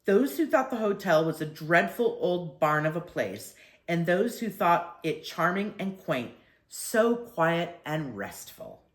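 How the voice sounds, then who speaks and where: Expressive older woman reading in an echoey room. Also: lowish voice, slow. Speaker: storyteller reading to grandchildren. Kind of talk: expressive children's book reading.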